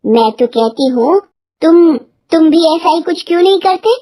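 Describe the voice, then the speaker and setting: A woman's voice: very high-pitched, expressive, moderate pace, mellow, no echo, studio quality. A woman voicing a sensible, nagging village farmer's wife in a cartoon moral story. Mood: nagging, urging.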